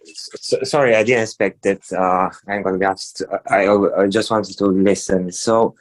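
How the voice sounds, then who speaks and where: A man's voice: deep voice, steady tone, normal speed, poor audio. A man from Romania on a video call, talking hesitantly with many fillers and restarts. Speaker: meeting participant. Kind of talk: casual chat on a video call.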